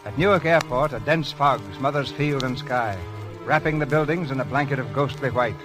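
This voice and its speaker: A man's voice: medium pitch, normal speed, over music, rough recording. A man delivering scripted announcements and narration in a 1930s radio show style, on an old broadcast recording.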